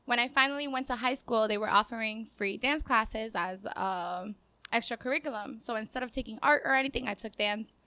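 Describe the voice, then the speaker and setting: A woman's voice: on the high side, a little fast, sharp tone, no echo. A woman in her twenties from Cuba, a dancer chatting casually as a podcast guest in an upbeat, talkative way. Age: 20s. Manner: upbeat, chatty, laughs easily. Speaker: guest, dancer and business owner. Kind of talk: casual interview talk.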